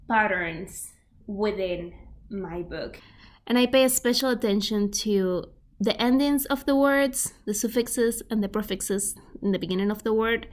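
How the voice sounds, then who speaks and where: A woman's voice: mid-range voice, echoey room. Chatty Spanish-accented woman teaching languages. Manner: chatty, filler-heavy, explains with examples. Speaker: language learning vlogger. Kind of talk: casual instructional talk.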